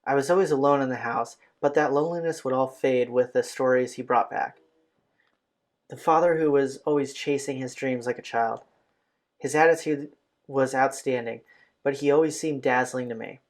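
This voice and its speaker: A man's voice: mid-range voice, normal speed. A man reading visual novel narration aloud as a let's play host, occasionally stumbling over a phrase. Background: game music.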